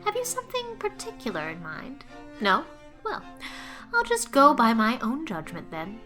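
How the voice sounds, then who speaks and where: An actress playing a bossy, opinionated middle-aged village gossip who talks briskly and with great self-assurance. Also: female voice, high-pitched, wildly swooping pitch, sharp tone, soft background music, full character voice. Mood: helpful.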